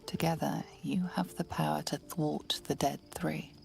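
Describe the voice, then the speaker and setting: A woman's voice: steady tone, measured pace. A woman narrating a video game in an ominous storytelling manner, at times dropping to a whisper, with music underneath. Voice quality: low gravelly voice. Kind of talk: scripted narration.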